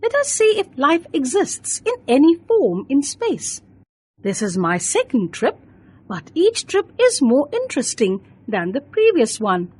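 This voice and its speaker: A woman voicing a kindly, reassuring grown-up lady astronaut in a children's story, gently explaining things. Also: high-pitched, huge pitch swings, unhurried, heavily acted. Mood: gentle wonder.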